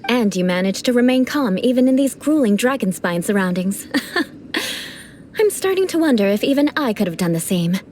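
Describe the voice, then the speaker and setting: A woman's voice: on the high side, animated, moderate pace, darkish tone, a little hiss or hum, lightly acted. A woman playing a haughty aristocratic knight. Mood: cool. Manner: formal, haughty, dryly teasing. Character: proud aristocratic young woman knight, cool and teasing. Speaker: mentor in a game. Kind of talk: game cutscene voice acting.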